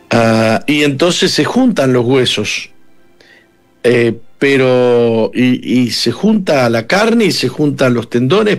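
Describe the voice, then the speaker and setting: A man hosting a radio interview with a Uruguayan Spanish accent, asking long, wandering questions. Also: medium pitch. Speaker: radio host.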